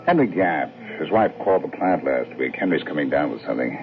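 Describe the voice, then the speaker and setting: Deep, gravelly, easygoing husband. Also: male voice, expressive, faint music behind, exaggerated voice. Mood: matter-of-fact. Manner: agreeable, unhurried, folksy. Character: easygoing, plain-spoken husband and father, agreeable family man. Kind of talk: radio drama acting. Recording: tinny, hissy old radio recording.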